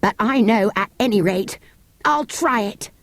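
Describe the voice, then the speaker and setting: A cocky, quick-witted British schoolboy from a storybook, acted out by a woman narrator. He explains like a know-it-all, in a lively, swooping voice with a dry, close sound. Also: medium pitch, no echo.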